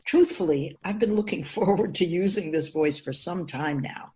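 A woman's voice: fairly low pitch, normal speed, a little mellow, natural voice. A woman playing an assured immortal keeper of souls in a radio drama, explaining things in a composed, formal, didactic way. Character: feminine-voiced immortal spirit keeper of souls, assured and instructive.

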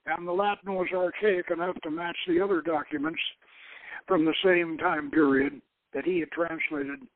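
A man's voice: fairly high pitch, steady tone, normal speed, no echo. A man giving a dramatic reading of a novel as narrator, stumbling now and then. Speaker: host reading own novel aloud. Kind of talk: dramatic audiobook-style narration. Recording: dull, lo-fi radio sound.

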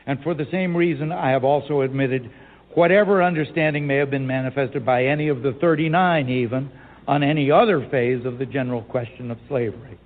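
A man delivering a formal, old-fashioned political speech in a deliberate, rhetorical manner with measured phrasing. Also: medium pitch. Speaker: speaker reciting a historical speech. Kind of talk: formal oratory, reading a 19th-century political speech. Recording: phone-like narrow sound, hall reverb.

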